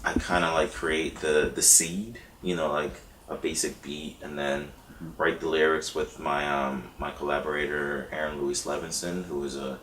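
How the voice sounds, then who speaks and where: A man's voice: a bass voice, flat delivery, moderate pace, fairly bright tone, roomy sound, recorded from a distance, slight background noise, low-quality recording. A man who is a musician and filmmaker talking casually about his work, rambling with fillers. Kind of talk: casual interview talk.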